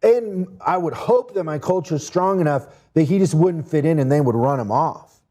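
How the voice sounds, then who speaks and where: A man's voice: fairly high pitch, normal speed, mellow. A man who grew up in Mexico, a podcast guest talking business in an earnest, casual way and often restarting his words. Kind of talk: casual interview talk.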